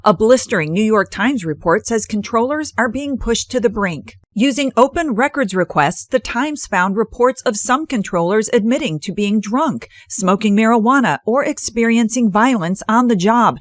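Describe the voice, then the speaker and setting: Higher-pitched American woman reporting news. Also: expressive, normal speed, few pauses, no echo, studio quality. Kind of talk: scripted news package narration.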